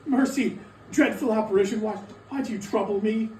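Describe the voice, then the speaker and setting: An actor playing a frightened, skeptical old miser in a dramatic stage reading, querulous and halting, with a stammer when he pleads. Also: sits high, a little mellow, lightly acted. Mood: terrified. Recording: echoey room, distant mic, noisy.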